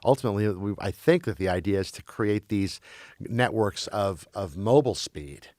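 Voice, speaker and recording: Man, from Belgium, interview guest, halting speech, repeats words, lowish voice, dry close sound. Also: expressive, darkish tone, dry recording. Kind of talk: casual conversation.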